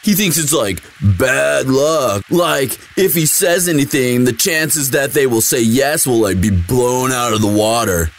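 A man's voice: medium pitch, expressive, unhurried, few pauses, soft background music. A young man playing a sweet, dim, eager athlete boyfriend in a casual, intimate audio roleplay, with a slangy, drawling delivery. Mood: cheerful, chatty.

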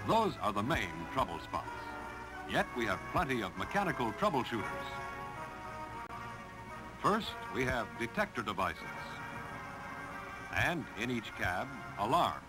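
An American man reading scripted 1950s instructional film narration in a clear, measured delivery. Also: medium pitch, big pitch swings, low-quality recording. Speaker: narrator of a railroad training film. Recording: 1950s film soundtrack with music behind.

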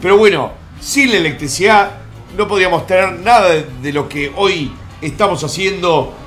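Middle-aged man, high voice, crude rambling stream host, faint music behind. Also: normal speed, fairly bright tone. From born in the United States. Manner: vulgar, joking, rambling asides. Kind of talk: crude comic countdown commentary. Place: home room in Miami.

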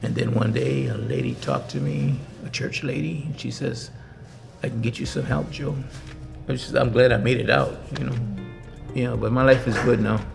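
Older man, extremely gravelly fry, casual personal testimony, music underneath. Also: medium pitch, animated, moderate pace. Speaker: interviewee helped by a church. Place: indoor church sanctuary, seated interview.

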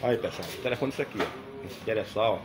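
Um homem nordestino brasileiro gravando um vlog casual numa feira de motos ao ar livre, falando com entusiasmo e repetindo os preços. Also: male voice, lowish voice, fast, loud music behind the voice. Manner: chatty, enthusiastic, repeats prices back. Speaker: vlogger filming a motorcycle market. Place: outdoor motorcycle fair.

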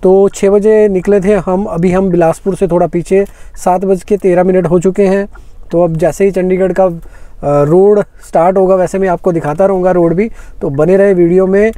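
A man's voice: high voice, steady tone, normal speed, mellow, dry recording. A young man, a travel vlogger, chatting casually to viewers while on a road trip, filmed on a phone camera inside a car. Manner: chatty, addresses viewers directly. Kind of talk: casual travel vlog narration.

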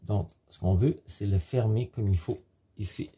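Low, bright but husky male home baker. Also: moderate pace. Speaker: home baker presenting a recipe. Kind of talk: step-by-step cooking demonstration. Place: home kitchen, indoors.

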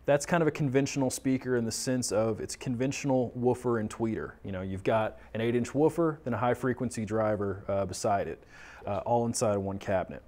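A man's voice: mid-range voice, fairly quick, darkish tone. American man casually explaining audio gear. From Texas, United States. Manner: conversational, explanatory, poses questions and answers them. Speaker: tech talk presenter explaining audio products. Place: small indoor studio office, seated or standing at a table.

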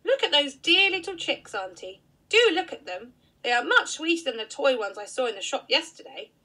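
A woman voicing an eager, polite little boy in a storybook reading, with excited exclamations. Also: high voice, moderate pace, voice pushed up, full character voice.

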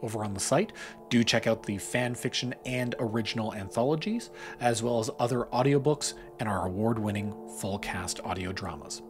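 A man's voice: on the low side, normal speed. A man reading a scripted introduction as the presenter of an audio fiction show. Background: music behind the voice.

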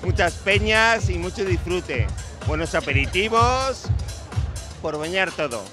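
A man in his fifties with a northern Spain accent, answering casually and haltingly in a street interview. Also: high-pitched, animated. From León, Spain. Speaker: festival-goer being interviewed. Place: outdoors at a night street festival. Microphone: handheld reporter microphone. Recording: loud festival music behind.

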